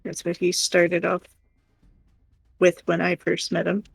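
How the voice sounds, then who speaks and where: Husky, giggly woman chatting casually. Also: lowish voice, monotone, a little slow, no room sound, low-quality recording. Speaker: live stream co-host. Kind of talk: casual livestream chat while crocheting.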